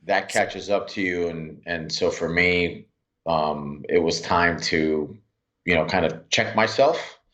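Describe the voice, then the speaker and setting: Man, 40s, from Miami, very low voice, earnest, halting, frequent restarts, lo-fi sound. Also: a bit bright. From Miami, USA. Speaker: podcast guest. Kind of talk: reflective interview talk. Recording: dull lo-fi sound.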